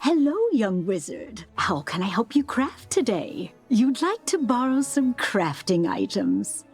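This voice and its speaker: An actress playing an obliging, knowing crafting shopkeeper in a video game, with a measured sing-song delivery. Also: female voice, on the high side, sing-song, faint music behind. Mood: helpful, knowing. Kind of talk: video game character dialogue.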